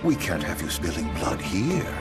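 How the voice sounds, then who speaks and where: Casting: smug, silky male video game villain, theatrical lilt, wide swings in pitch, loud score underneath. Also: big pitch swings, moderate pace. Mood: smug taunting. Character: suave, mocking male villain, theatrically courteous, taunting. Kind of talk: dramatic video game acting. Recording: loud music behind the voice.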